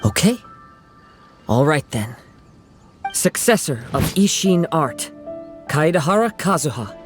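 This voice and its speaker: Casting: young wandering samurai in an anime game, polite and poetic, higher register, lively intonation, music behind. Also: male voice, takes it slowly. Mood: composed. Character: calm, courteous young male wandering swordsman. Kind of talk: anime video game voice acting.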